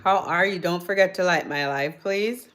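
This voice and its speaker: A woman's voice: on the low side, moderate pace, a bit bright. A woman with a Jamaican accent, raised in the United States, chatting casually with viewers on a live stream and answering their comments with easy laughter.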